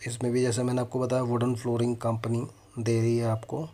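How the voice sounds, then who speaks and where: A man's voice: mid-range voice, monotone, a little fast, dark tone. Indian man presenting a property walkthrough. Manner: explanatory, addresses viewers directly. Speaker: property consultant and video host. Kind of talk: property walkthrough presentation mixing Hindi and English.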